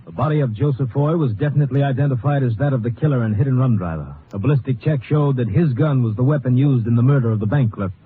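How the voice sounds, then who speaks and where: A man's voice: medium pitch, fast talker, hardly any pauses, over music. A man narrating a radio crime drama epilogue in a clipped, official manner. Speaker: epilogue announcer. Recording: noisy, tinny old radio recording.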